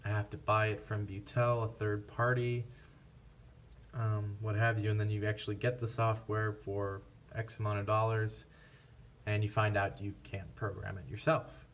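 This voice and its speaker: A man with a fairly low voice talks through products in a casual, unscripted way. His delivery is flat and matter-of-fact, like a shop representative explaining options. He sounds some distance from the mic, with faint noise behind him. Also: normal speed. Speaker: scanner shop representative presenting a product comparison.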